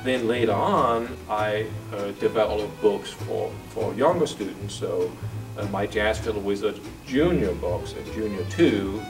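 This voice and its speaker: A man in his forties from Denmark with a Danish accent, answering interview questions in a relaxed, thoughtful way with filler pauses. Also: fairly low pitch, gravelly, far from the mic, over music. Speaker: guest jazz violinist. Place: office room, indoors.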